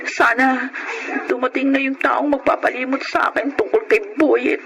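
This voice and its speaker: High-voiced, lovelorn young Filipina. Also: female voice, fairly even intonation, moderate pace, background noise. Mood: wistful, bitter. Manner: emotive, exclamatory. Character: heartbroken young Filipina, candid, excitable, still pining for her ex. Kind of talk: Filipino radio drama acting.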